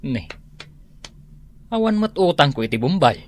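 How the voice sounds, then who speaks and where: Strict older brother telling people off, ilocano radio drama. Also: male voice, a little high, very animated, normal speed. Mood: wary. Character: stern, scolding elder brother.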